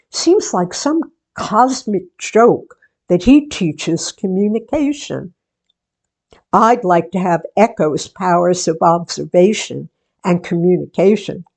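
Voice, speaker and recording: Wry, chatty middle-aged woman for a radio play, acted by a woman, unhurried and deliberate, musing in theatrical monologue. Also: on the low side, darkish tone, full character voice. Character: wry, chatty grown woman running a book exchange.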